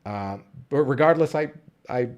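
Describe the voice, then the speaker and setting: A man's voice: mid-range voice, lively intonation, a little slow. A man, talking casually to the camera and restarting his sentences often. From Colombia, raised in Southern California. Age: 30s. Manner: rambling, restarts sentences, frequent fillers. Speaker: vlogger. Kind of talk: casual unscripted talk to camera.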